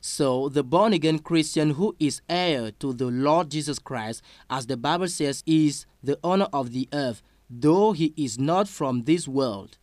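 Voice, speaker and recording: A man interpreting a sermon line by line, slow and careful, with small slips. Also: a little high, a little mellow, no room sound.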